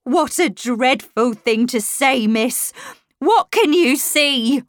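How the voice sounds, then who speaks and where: A woman's voice: medium pitch, slow, no room sound, clean studio sound, natural voice. Woman acting a flustered, motherly servant woman. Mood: shocked. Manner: coaxing, protective.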